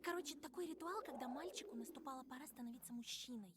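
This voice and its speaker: A woman's voice: high voice, very fast, heavy background noise, close to an everyday voice. A woman voicing an earnest, dreamy young village girl in a visual novel game, with music behind her. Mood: storytelling. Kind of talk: visual novel voice acting in Russian.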